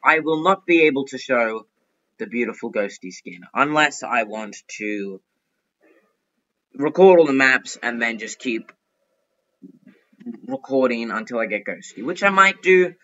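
A young man talks his way through an online game in a chatty, excitable manner, pleading and fretting out loud. His voice is bright and sharp. The recording is dull and lo-fi, like a cheap desktop mic. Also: mid-range voice, unhurried, pauses often. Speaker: gaming YouTuber. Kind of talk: casual gameplay commentary.

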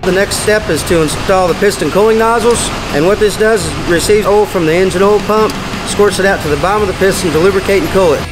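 A man's voice: sits high, quick pace, few pauses, music in the background, low-quality recording. A middle-aged man with an American accent, a folksy, plain-spoken diesel mechanic explaining a job step by step. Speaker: diesel mechanic presenting a tutorial. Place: large indoor heavy equipment workshop.

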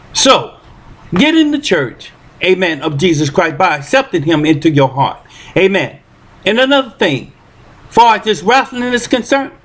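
A man's voice: high-pitched, fairly bright tone, husky. A man in his forties preaching a freestyle sermon at home into a handheld microphone, putting "amen" after nearly every phrase. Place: living room at home. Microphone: handheld microphone close to the mouth.

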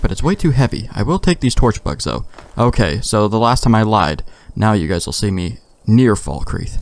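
A man giving casual, wry let's play commentary, joking and swearing. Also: fairly low pitch.

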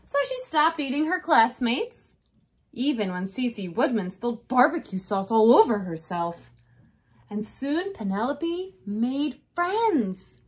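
A woman kindergarten teacher reads to young children in a slightly high voice that moves up and down a lot. She takes her time and speaks right to the children, checking in with questions. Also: slow pace, husky. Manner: expressive, addressing children directly with check-in questions. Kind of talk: read-aloud storytelling for young children. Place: small decorated indoor room.

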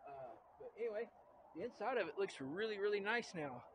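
A man's voice: sits high, animated, a little fast. An older man hosting a casual how-to vlog in a windy backyard, easygoing, with lots of "uh" fillers and doubled "really, really". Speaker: outdoors channel host. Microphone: clip-on mic, camera on tripod and handheld. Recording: wind noise on the mic. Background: wind.

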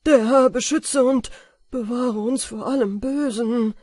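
Woman playing a careful polite fisher guy, slow and wary, dry close mic. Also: a little high, darkish tone, no echo, pitched above the performer's own voice. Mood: astonished. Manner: deferential, astonished, slow and careful. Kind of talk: storybook character voice.

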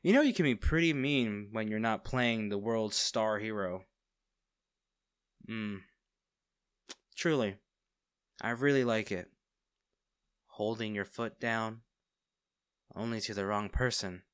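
A man acting as a smitten villain in an intimate audio roleplay, speaking directly to the listener with theatrical pauses. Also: fairly low pitch, clear, resonant tone, no echo, studio quality. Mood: teasing, smug. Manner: teasing, theatrical, pausing, addressing the listener intimately. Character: male villain, teasing, smitten captor of a hero.

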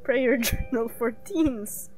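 A woman's voice: fairly high pitch, slow, poor audio. A woman giving casual, wry and teasing commentary as she streams a game playthrough. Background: game music.

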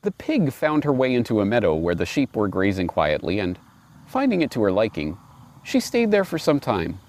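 A man in his forties giving reflective commentary and reading aloud, deliberate and emphatic, recorded outdoors on a lapel mic. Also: lowish voice, wildly swooping pitch, a little mellow. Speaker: host and commentator. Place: outdoors on grass by trees and water.

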